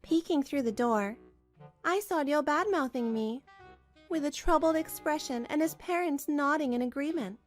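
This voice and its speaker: A woman reading scripted first-person drama narration in an expressive storytelling manner. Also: sits high, normal speed, faint music behind. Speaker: narrator and voice actor.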